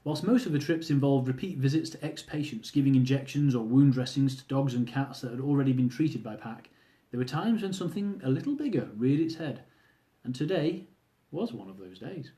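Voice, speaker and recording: A man's voice: mid-range voice, expressive, a little fast, warm tone. A man reading his own humorous memoir aloud, telling the story wryly and speaking asides to his listeners. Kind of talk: audiobook-style reading of a travel memoir.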